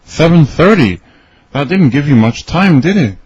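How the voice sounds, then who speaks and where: A man reading his part in a scripted English conversation for learners, his voice slightly husky. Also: on the high side, animated, moderate pace, darkish tone. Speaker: dialogue reader for English learners. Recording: dry, slightly dull studio recording.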